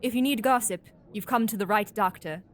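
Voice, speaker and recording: A woman's voice: mid-range voice, brisk, close to an everyday voice. A woman playing an eager, gossipy young doctor in an audio drama, chatty and proper. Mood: proudly chatty. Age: young adult.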